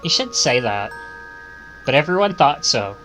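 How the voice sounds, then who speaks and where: A man's voice: mid-range voice, big pitch swings, measured pace, music in the background. A man reading visual novel text aloud as a streamer, stumbling and correcting himself, with casual asides.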